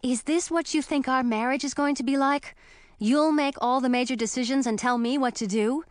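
A woman's voice: high voice, moderate pace, dry recording, studio quality, voice pushed up, natural voice. Earnest, stubborn young heroine voiced by a woman. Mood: determined. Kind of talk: audiobook fantasy character voice.

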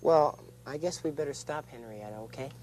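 Actor as a polite, gentle male tap dancer. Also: medium pitch, fairly quick, slight background noise, natural voice. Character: friendly, polite young male tap dancer visiting puppets. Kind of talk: children's television play.

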